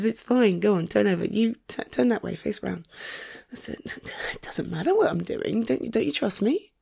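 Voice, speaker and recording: A woman playing a sleepy, tender, playful girlfriend in an ASMR roleplay, speaking softly and coaxingly with a breathy, often whispered delivery. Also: mid-range voice, full character voice. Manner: soft, coaxing, affectionate, unhurried.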